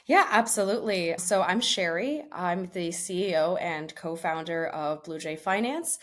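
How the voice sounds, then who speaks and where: A woman's voice: on the low side, animated, a little slow, dry recording. A woman in her thirties from the US, a startup founder speaking fluently and reflectively as a podcast guest. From United States. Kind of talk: podcast interview.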